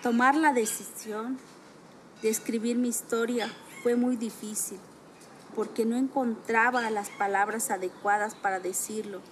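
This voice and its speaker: A woman whose first language is Tzotzil, reading her own written account aloud in a measured, reflective way. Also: on the high side, steady tone, slight background noise, low-quality recording.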